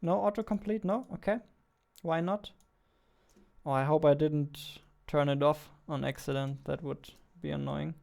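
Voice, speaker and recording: A man's voice: on the high side, fairly even intonation, unhurried, vocal fry, a little mellow. A man in his twenties chatting casually as he live-codes, muttering to himself and repeating words. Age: 20s. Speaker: game developer and streamer. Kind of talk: casual live coding chat. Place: small indoor room at a computer. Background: keyboard typing and clicking, faint music.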